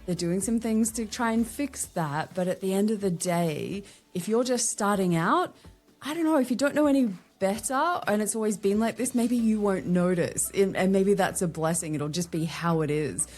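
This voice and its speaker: A woman's voice: mid-range voice, normal speed, a little mellow. An Australian woman, a guest on a podcast interview, talking candidly and chattily with wry asides. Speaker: guest, LinkedIn strategist.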